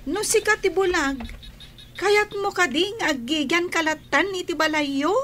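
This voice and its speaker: A woman's voice: extremely high pitch, a little slow, fairly bright tone, slight background noise. A woman playing a loyal, protective schoolgirl big sister in a radio drama, with a spirited, caring manner. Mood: defensive.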